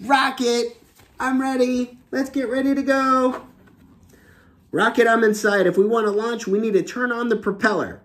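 A man voicing an eager young woman astronaut in a storybook, calling out excitedly. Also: extremely high pitch, moderate pace, pitched above the performer's own voice. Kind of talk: storybook read-aloud character voice.

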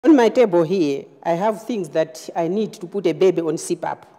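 Woman, nurse demonstrator, halting phrase-by-phrase explanation, soft dark tone, long room reverb. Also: on the low side. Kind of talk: hands-on clinical demonstration.

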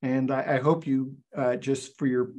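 An older man hosting a video call interview, talking in halting stops and starts with many fillers. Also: mid-range voice, level tone, a little mellow. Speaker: show host and forum founder. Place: indoors, virtual backdrop. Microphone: webcam on video call.

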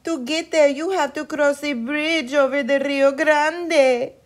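A woman voicing a worried mother goat who pleads in a high, loud voice. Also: fairly even intonation, slow pace. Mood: anxious.

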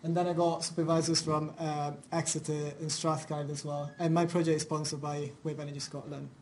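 A man's voice: a little high, flat delivery, fairly quick, darkish tone, a little hiss or hum. Young Italian-accented man giving a seminar. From Italy. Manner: informal, with many fillers and restarts. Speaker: PhD researcher presenting a seminar. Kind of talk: academic seminar talk. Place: university seminar room.

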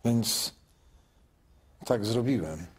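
A male actor playing a grown son in a radio drama, speaking in a measured, heavy, quietly pleading way. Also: fairly low pitch, expressive, breathy edge, exaggerated voice. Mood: weary, pleading. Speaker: son narrating his story.

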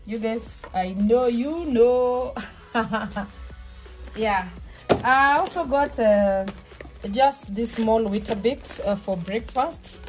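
Woman, 30s, slightly high voice, relaxed home vlogger chat, music bed clearly underneath. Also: extremely slow. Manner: chatty, relaxed, restarts and fillers, soft laughs. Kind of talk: casual shop-with-me haul chat. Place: small home kitchen, indoors. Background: background music.

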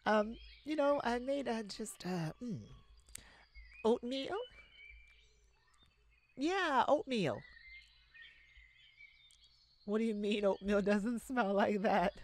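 A woman's voice: on the high side, takes it slowly, long pauses, darkish tone. A woman playing a silly, affectionate, giggly and bashful young boyfriend in an ASMR romance roleplay. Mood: flustered. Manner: giggly, bashful, hesitant, gushing. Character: silly, playful, affectionate young boyfriend surprising his partner. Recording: clearly noisy, ambient sounds behind.

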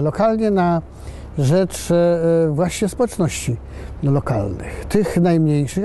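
An older man speaks in a slow, halting, measured way, stopping often between phrases. His voice sits a little high yet sounds mellow and soft-edged. Faint outdoor ambience and passing traffic hum behind him. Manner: measured, hesitant, pausing mid-phrase. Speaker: election candidate. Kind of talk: campaign interview statement. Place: outdoors in a castle courtyard.

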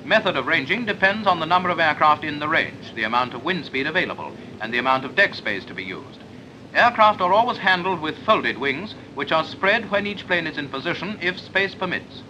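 A man's voice: a little high, big pitch swings, brisk, sharp tone. A British man reading a scripted 1940s instructional film narration in a clipped, explanatory manner. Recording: noisy 1940s film soundtrack.

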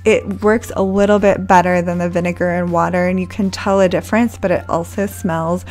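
A woman's voice: fairly low pitch, fairly even intonation, a little fast, a little mellow. A woman in her thirties narrating her cleaning routine in a friendly, chatty voice-over, recommending products. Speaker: home cleaning vlogger.

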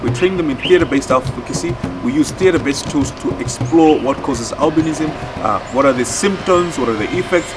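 A man, explaining a project in a documentary interview outdoors, with deliberate, halting phrasing. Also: mid-range voice, music in the background. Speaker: theatre project leader. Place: outdoors in a leafy courtyard.

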